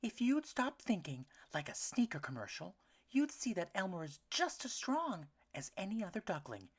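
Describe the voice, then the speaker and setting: A woman voicing a gentle, loving duck mother with a soft, soothing manner in a storybook. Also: on the low side, sing-song, a little slow, husky, pitched above the performer's own voice, heavily acted. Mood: pleading.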